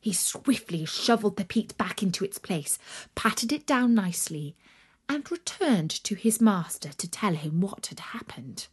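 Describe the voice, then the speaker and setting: A woman narrating a children's story from a script, expressive and dramatic, dropping into breathy emphasis on some words. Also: mid-range voice, a little slow.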